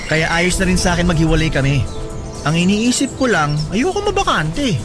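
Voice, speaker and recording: A man's voice: high-pitched, sing-song, moderate pace. An actor playing a playful young man out drinking with a friend, joking and teasing as he talks. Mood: defensive, playful. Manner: joking, teasing, laughs easily. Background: music.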